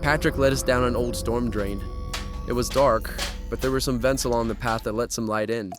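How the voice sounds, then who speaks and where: A man's voice: medium pitch, steady tone, moderate pace, music in the background, natural voice. An actor playing a bewildered, earnest young man trapped in a nightmare world, speaking and narrating in a horror audio drama. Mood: tense narrating. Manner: uneasy, questioning, earnest.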